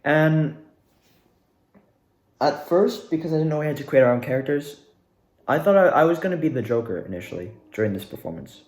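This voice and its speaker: A young man telling a casual personal story in a rambling, animated way, repeating words for emphasis. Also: a little high, a little fast, long pauses. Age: young adult. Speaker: student actor recounting past performances. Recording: echoey, reverberant room.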